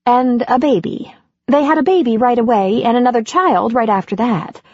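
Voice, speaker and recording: A woman voicing a warm, anxious middle-aged woman in an audiobook, talking brightly and quickly. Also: no echo, close to an everyday voice. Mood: eager. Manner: bright and eager, higher than her narration. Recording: dry, dull lo-fi audiobook recording.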